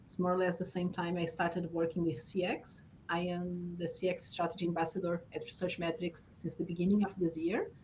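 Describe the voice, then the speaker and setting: Female co-host, mature, Brazilian accent from native Portuguese, fairly flat intonation, conversational, faint background noise. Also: fairly low pitch, fairly quick, few pauses, poor audio. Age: middle-aged or older. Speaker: podcast co-host introducing herself. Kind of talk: conversational introduction.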